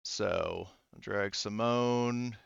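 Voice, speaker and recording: A man narrating a tabletop game casually as game master, in a rambling, halting way with frequent self-interruptions. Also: fairly low pitch, creaky, clear, resonant tone, no room sound, dull audio.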